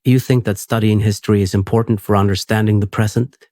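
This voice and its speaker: A man hosting a sleep podcast, reading a script in a steady, unhurried, soothing way. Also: lowish voice, no room sound, clean studio sound.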